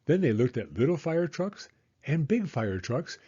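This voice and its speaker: A man reading a children's picture book aloud in an expressive, animated way, as a storyteller speaking to young listeners. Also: a little high, unhurried, slightly rough, highs cut off. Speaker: storyteller, former school teacher.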